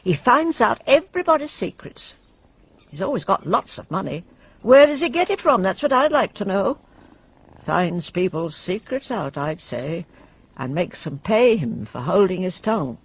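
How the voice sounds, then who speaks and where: A woman's voice: medium pitch, very animated, normal speed, breathy edge, muffled recording. An actress playing a suspicious, gossipy village woman in a radio drama, blunt and full of knowing hints. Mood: spiteful, gossipy.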